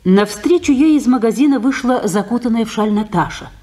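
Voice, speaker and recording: A woman narrating stage directions for a 1950s radio play in a measured storytelling manner. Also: a little high, lively intonation, warm tone, lightly acted.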